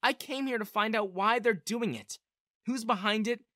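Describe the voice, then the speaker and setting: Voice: medium pitch, moderate pace, bright, forceful tone, dry recording, clean studio sound, voice pushed up, lightly acted. A narrator playing an urgent, determined female spy. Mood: earnest, resolute. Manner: pleading, urgent, resolute. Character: earnest, determined female Russian spy seeking shelter. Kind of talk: audiobook-style character dialogue.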